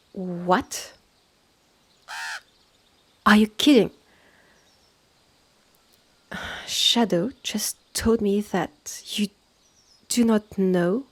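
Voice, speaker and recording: A woman plays a stern witch with a French accent in an intimate audio roleplay. She speaks slowly and deliberately in a creaky voice, haughty and impatient, as if addressing someone far beneath her. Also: mid-range voice. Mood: exasperated. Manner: condescending, deliberate, clipped phrases with exasperated sighs. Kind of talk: scripted second-person audio roleplay, close and measured.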